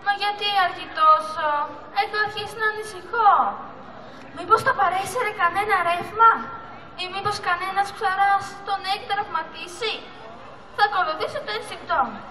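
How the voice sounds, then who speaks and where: A woman's voice: very high voice, sharp tone, some chatter behind. A teenage girl playing an anxious, loyal young sea turtle in an amateur school puppet show, with earnest theatrical delivery. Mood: worried. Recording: echoey hall, music and audience noise.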